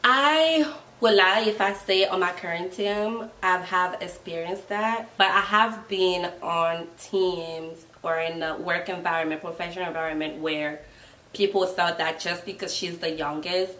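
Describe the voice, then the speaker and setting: A woman's voice: fairly low pitch, fairly even intonation, unhurried, bright, forceful tone. A woman in her twenties with a Ghanaian accent, a guest giving earnest advice in a casual conversation. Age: 20s. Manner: earnest, advising. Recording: roomy, mic at a distance.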